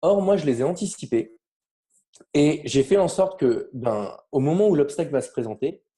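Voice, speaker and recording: A man from France explaining his language learning method in a relaxed interview, animated and often trailing off mid-sentence. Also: fairly high pitch, normal speed. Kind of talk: casual conversational interview.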